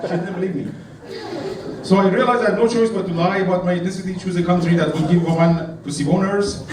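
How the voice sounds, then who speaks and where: A man from Iran with an Iranian accent doing stand-up at a comedy club, deadpan with acted quotes. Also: sits high, fast, recorded from a distance, heavy background noise. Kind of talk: stand-up routine. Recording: echoey club, mic from afar. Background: audience laughter.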